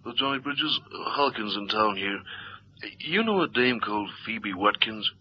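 An actor playing a halting, folksy small-town policeman who grumbles mildly, in an old radio drama. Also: fairly low pitch, animated, natural voice. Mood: uneasy, probing. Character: hesitant, grumbling male small-town cop. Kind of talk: 1970s radio drama acting. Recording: muffled, noisy old radio drama recording.

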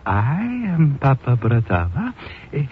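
An actor playing an elderly, courteous but guarded toy shop owner in a 1950s radio drama, halting and formally polite, on a tinny old recording. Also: male voice, medium pitch, wildly swooping pitch, slight background noise.

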